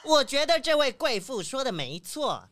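A gossipy shop assistant, played by a woman in a children's radio play. She is fairly high and very lively, chiding and exclaiming, with music clearly behind her. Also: normal speed. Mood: condescending. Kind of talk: children's audio drama acting.

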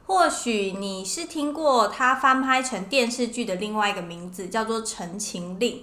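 A woman's voice: fairly high pitch, normal speed, bright, forceful tone. A woman with a Taiwanese Mandarin accent hosting a podcast, chatting casually as she explains things to listeners. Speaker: podcast host. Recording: roomy indoor echo.

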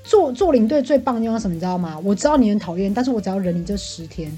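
A woman's voice: mid-range voice, expressive, racing, warm tone, faint music behind. A talkative woman with a Taiwanese Mandarin accent, venting in casual, exclamatory chat as a podcast co-host.

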